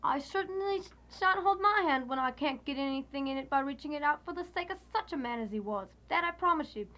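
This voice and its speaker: An actress playing a brazen, callous thieving servant in a radio drama, scornful and defiant. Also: female voice, high-pitched, animated, quick pace, bright, forceful tone.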